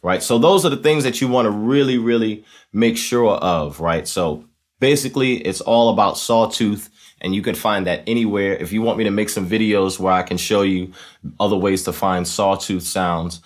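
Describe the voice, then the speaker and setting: Very deep-voiced man hosting a casual tutorial. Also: moderate pace. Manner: conversational, often checking in with "right?". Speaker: tutorial host. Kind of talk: casual instructional demonstration.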